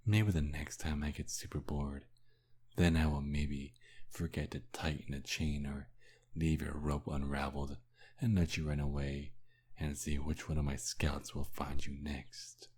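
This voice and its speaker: Man acting a very deep, menacing vampire kidnapper. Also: little pitch movement, a little mellow, heavily acted. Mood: cold menace. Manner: slow, menacing, taunting, breathy whispers. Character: cruel, mocking male vampire kidnapper. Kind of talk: ASMR roleplay acting.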